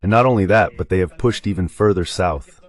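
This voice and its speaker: Low-pitched man giving war commentary. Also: fairly quick. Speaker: guest analyst. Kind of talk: dubbed live war commentary.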